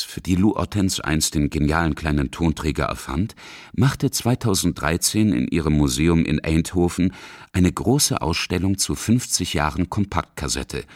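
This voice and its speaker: Deep, husky male radio narrator. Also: no room sound, studio quality. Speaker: feature narrator. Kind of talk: scripted radio feature narration.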